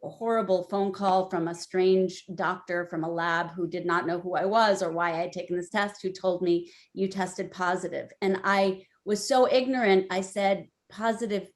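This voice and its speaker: A woman in her forties on a webinar panel, telling a personal story in a candid, conversational way that turns lively when she quotes herself. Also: lowish voice, moderate pace, hardly any pauses. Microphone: video call.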